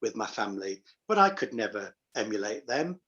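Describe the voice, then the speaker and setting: A man's voice: lowish voice, big pitch swings, a little fast, fairly bright tone, dull audio. A middle-aged man giving reflective, anecdotal answers as a pantomime performer in a casual interview.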